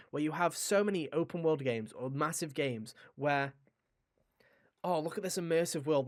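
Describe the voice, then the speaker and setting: A man with a somewhat high voice chats casually as a gaming podcast host. He is enthusiastic and rambles from one thought to the next, swearing freely along the way. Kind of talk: casual video game discussion.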